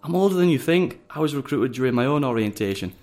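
A man's voice: mid-range voice, lively intonation, fast, a little mellow, natural voice. A man playing a smug young undercover agent, a coolly calculating psychopath with a detached, condescending manner, in an audio drama. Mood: cold, matter-of-fact. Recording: music underneath.

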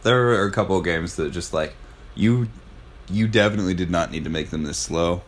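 A man's voice: low-pitched, a little fast. A young man co-hosting a podcast, giving blunt, sweary opinions in casual chat. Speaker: podcast co-host.

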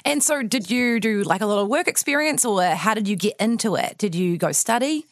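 A woman's voice: medium pitch, a little fast, no room sound, studio quality. A woman hosting an interview podcast, introducing the show and putting questions to a guest. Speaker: podcast host.

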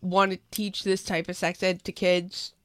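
Sniffly woman co-host, chatty and blunt with "like"s throughout, in a smooth, clear voice on a dry mic. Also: on the low side, level tone, dry recording. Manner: quick reactions, talky with many "like"s. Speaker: talk show co-host. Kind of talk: opinionated casual talk.

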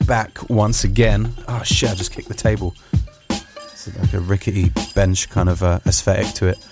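A man's voice: low-pitched, level tone, moderate pace, over loud music. A man hosting a podcast in casual chat, sniffly, rambling and jokey, with lots of fillers and restarts. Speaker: podcast host.

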